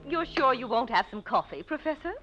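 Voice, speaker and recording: A woman's voice: high-pitched, big pitch swings, brisk, music in the background. An actress playing a timid, fretful woman in an old-time radio mystery, hesitant and solicitous. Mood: concerned. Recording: muffled, noisy vintage radio drama recording.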